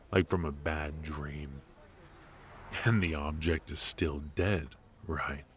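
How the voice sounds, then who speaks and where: A man playing a ruthless, teasing mafia boss in an intimate noir ASMR audio drama, speaking with smug, probing calm and musing pauses. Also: extremely low pitch, slight background noise.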